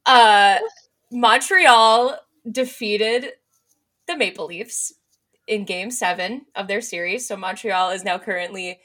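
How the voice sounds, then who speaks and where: A woman's voice: medium pitch, slow pace, a bit bright. A young woman hosting a casual sports podcast, chatty and self-mocking about her picks. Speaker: podcast host.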